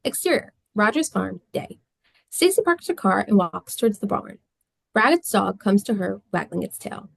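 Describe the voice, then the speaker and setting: A woman's voice: medium pitch, very animated, normal speed, creaky, breathy edge, no room sound. A woman reading scene descriptions and stage directions as the narrator of a script.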